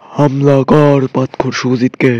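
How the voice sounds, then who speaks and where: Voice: mid-range voice, little pitch movement, a little slow, darkish tone, highs cut off, natural voice. An actor playing a bossy, blustering male army officer in a comic cartoon, commanding and scornful. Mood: threatening.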